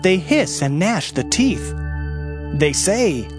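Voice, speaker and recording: A man narrating scripture in a solemn, measured, dramatized reading. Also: sits high, big pitch swings. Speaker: Bible narrator. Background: background music.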